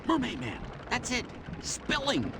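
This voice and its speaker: A man's voice: very high voice, huge pitch swings, a little slow, heavy background noise, full character voice. A man voicing a peevish yet gung-ho superhero sidekick in a cartoon game, grumbling and melodramatic. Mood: urgent, heroic. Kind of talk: cartoon video game acting.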